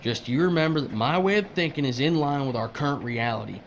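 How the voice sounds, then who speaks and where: A man's voice: mid-range voice, quick pace, muffled recording, a little hiss or hum, close to an everyday voice. A man playing a crude, cynical older worker who strips corpses, needling and folksy, in an audio drama. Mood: defensive, gruff.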